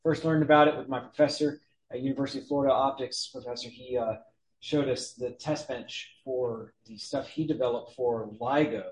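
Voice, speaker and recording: A man's voice: medium pitch, fairly even intonation, moderate pace. Hesitant man with a roomy echo. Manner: hesitant, many uhs. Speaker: club member introducing the speaker.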